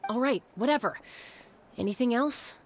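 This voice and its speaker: A woman's voice: a little high, normal speed, a little hiss or hum, lightly acted. A woman voicing a proud, headstrong older sister in a video game, speaking firmly and curtly. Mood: defiant. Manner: firm, defiant, curt.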